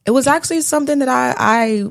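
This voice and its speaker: A young American woman with a deep alto voice, speaking in a composed, slightly monotone way as a casual interview guest. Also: fast, vocal fry, no room sound, clean studio sound. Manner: composed, serious, somewhat monotone. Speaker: guest, rapper and singer.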